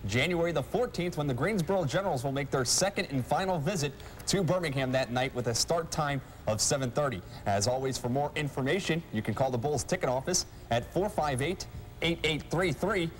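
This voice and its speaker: A man's voice: on the high side, brisk, a little hiss or hum. A young man presenting a sports show as host, in an announcer's role at an indoor rink. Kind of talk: sports show presenting, reading schedule and viewer question.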